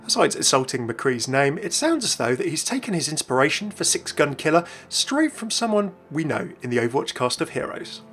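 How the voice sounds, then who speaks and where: A young man narrates a gaming video as its host. He talks quickly in a slightly high voice. Light music runs behind his scripted delivery. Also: very animated, a bit bright.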